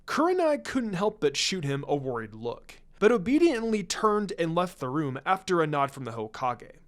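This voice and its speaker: A man's voice: fairly high pitch, big pitch swings, normal speed, fairly bright tone. A man giving a scripted, dramatic narration of a fan fiction story. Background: background music.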